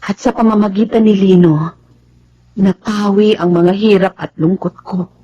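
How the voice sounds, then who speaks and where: A woman acting a devoted middle-aged wife and mother in a Filipino radio drama, with emotional, expressive delivery. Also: mid-range voice, normal speed, breathy edge, no echo, lo-fi sound, natural voice. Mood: grateful, warm.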